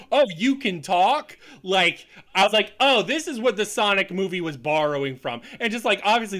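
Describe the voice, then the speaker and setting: A man with a fairly high, sharp and forceful voice talks through films on a casual podcast. He is opinionated and lets swear words slip in as he makes his case. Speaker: podcast panelist. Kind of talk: casual movie discussion.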